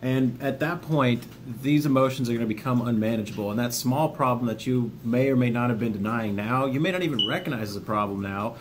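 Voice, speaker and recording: Folksy man talking over rumbly noise. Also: a little fast, few pauses. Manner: folksy, plainspoken, jokey asides, addresses viewers directly. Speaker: video host, army veteran sharing self-help lessons. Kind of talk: informal video talk, partly reading a book overview.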